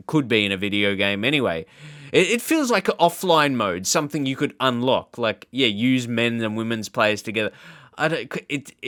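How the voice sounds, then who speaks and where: A man hosting a gaming channel, giving casual, off-the-cuff reaction commentary in a rambling, halting, informal way. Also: medium pitch, a bit bright, no echo, studio quality.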